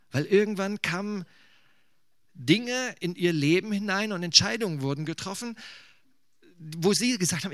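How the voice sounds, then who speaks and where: A man's voice: fairly high pitch, fairly bright tone, no room sound, studio quality. A man from eastern Germany preaching in a conversational, musing way, with casual asides. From Thuringia, eastern Germany. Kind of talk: sermon.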